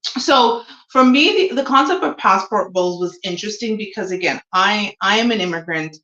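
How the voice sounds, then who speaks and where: A woman's voice: mid-range voice, expressive, moderate pace, sharp tone, reverberant, dull audio. A woman in her forties, born in Jamaica and raised in Toronto, hosting a frank solo talk show with plenty of ums. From Jamaica, raised in Toronto, Canada. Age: 40s. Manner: frank, opinionated, with frequent ums. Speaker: show host. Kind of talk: solo opinion livestream talk.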